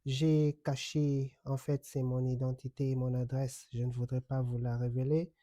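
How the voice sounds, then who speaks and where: Dark-voiced man explaining things slowly. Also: mid-range voice, flat delivery, dry recording. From Congo. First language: Kikongo. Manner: measured, explanatory, with frequent hesitations. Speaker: online commentator and accredited journalist. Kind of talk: direct-to-camera commentary. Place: home in the United States.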